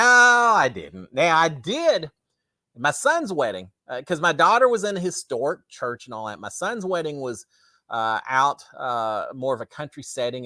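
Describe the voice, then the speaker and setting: A middle-aged man hosts a relaxed livestream, reading out viewer questions and chatting back. His voice is a bit raspy from overuse, and his pitch rises and falls a lot as he rambles through restarts and fillers. The sound is close and dry, with no room echo. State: slightly raspy, tired throat. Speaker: livestream host. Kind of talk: casual live chat answering viewer comments.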